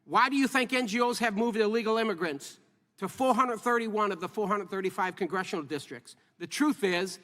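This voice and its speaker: A man's voice: sits high, fairly quick, bright, forceful tone, big-room sound. A politician giving a formal, emphatic speech, firing rhetorical questions at his listeners.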